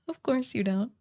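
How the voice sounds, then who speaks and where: A woman playing a haughty, sardonic evil sorceress queen in a soft, breathy, imperious voice for a fantasy ASMR roleplay. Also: high voice, exaggerated voice. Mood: softening, teasing. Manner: imperious, theatrically disdainful, drawn-out delivery.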